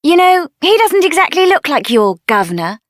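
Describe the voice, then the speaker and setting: Game heroine: young female mage, high and lively voice, assertive, sassy, dry close recording. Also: moderate pace, no echo. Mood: friendly, brisk. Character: spirited, headstrong young female mage adventurer. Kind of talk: video game character voice acting.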